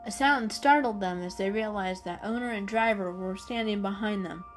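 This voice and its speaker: A woman's voice: medium pitch, moderate pace, soft background music. A woman narrating a children's story as a dramatic reading.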